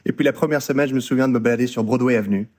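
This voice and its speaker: Animated, playful male storyteller. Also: medium pitch, rapid-fire. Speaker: actor and voice coach. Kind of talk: casual interview chat.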